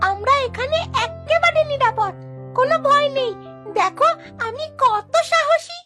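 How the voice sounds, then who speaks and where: Brave little cartoon chick: very squeaky, cocky, reassuring, loud music behind. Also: animated, a little slow. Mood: confident, boastful. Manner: proud, reassuring. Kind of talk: cartoon story dialogue.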